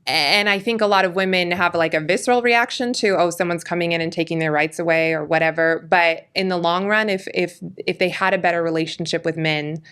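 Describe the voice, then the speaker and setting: A woman from California speaking as an interview guest, earnest and measured, often restarting her sentences. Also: fairly low pitch, fairly even intonation, fairly bright tone, clear, resonant tone. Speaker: interview guest, political commentator. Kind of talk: conversational interview.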